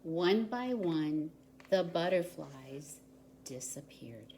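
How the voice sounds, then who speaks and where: A woman reads a children's story aloud in a low-pitched voice. She takes her time, giving each phrase expressive, deliberate weight for small listeners. There is some faint background noise. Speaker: volunteer story reader. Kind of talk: children's picture book read-aloud.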